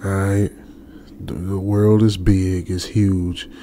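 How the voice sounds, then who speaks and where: A man's voice: low voice, level tone, slow, warm tone. A man with an American accent playing an anxious young trucker, muttering nervously to himself in a radio drama. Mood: self-reassuring. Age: young adult. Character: anxious young male trucker stranded alone. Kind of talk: ASMR radio drama monologue. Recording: faint background noise.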